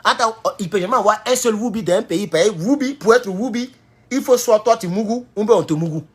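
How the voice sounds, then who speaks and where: A man with an Ivorian French accent giving a heated political commentary, jumping from loud high outbursts to low mutters. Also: brisk, breathy edge. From Ivory Coast. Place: at home in California.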